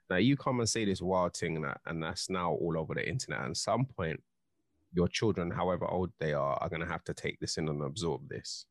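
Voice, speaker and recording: A man, co-hosting a podcast and talking earnestly, often stacking up "you know" as he makes his point. His voice is fairly low. It is recorded dry and close on a studio mic at home. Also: no room sound. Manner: earnest, heated, leans on filler "you know". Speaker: podcast co-host. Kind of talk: casual chat. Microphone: studio mic, headphones.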